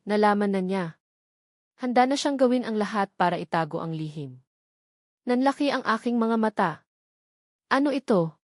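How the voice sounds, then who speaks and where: A woman's voice: medium pitch, no echo, studio quality. A woman with a Filipino accent narrating a dramatic first-person love story from a script, earnestly and in short dramatic phrases.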